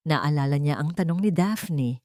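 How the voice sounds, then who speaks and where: A Filipino woman narrates a scripted love story in a lowish voice. Her delivery is measured and dramatic, set in short phrases with pauses. The sound is close and dry. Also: expressive, a little mellow, no room sound.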